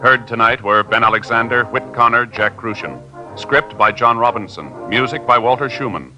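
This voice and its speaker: A man's voice: medium pitch, bright, forceful tone, faint music behind, poor audio. A man reading formal scripted announcements as a radio announcer. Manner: measured, formal delivery.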